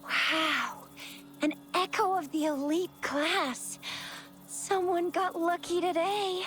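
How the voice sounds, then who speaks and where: A woman voicing an excitable, cheeky young female companion in an anime-style game, bubbly and exclaiming. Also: very high-pitched, takes it slowly, heavily acted. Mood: teasing, impressed. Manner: bubbly, exclaiming, folksy wording. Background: game music.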